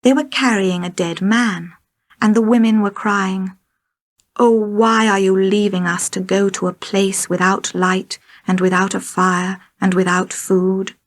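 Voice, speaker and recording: A woman's voice: medium pitch, fairly even intonation, a little slow. A woman reading short elementary stories aloud as scripted narration for learners. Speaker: story reader.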